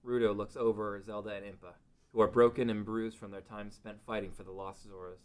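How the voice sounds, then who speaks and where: A man's voice: fairly low pitch, flat delivery, brisk. A man reading scripted narration as narrator of a table read.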